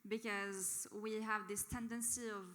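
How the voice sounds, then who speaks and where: A woman with a bright, forceful tone moderates a panel, picking her words haltingly as she goes. Her voice carries long reverb from a large conference hall. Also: mid-range voice, level tone.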